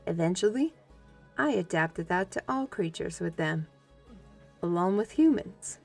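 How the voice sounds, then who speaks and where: Actress as freed half-blood scholar in a fantasy audio drama: woman, low register, unhurried, earnest and grave, music underneath. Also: lively intonation, gravelly, darkish tone, heavily acted. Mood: solemn, reflective. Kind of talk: audio drama narration of a life story.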